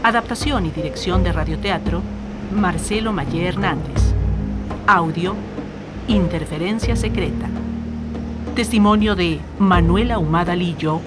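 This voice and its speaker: A woman's voice: lowish voice, measured pace. A woman reading scripted closing credits as the announcer of a radio drama. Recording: loud music under the voice.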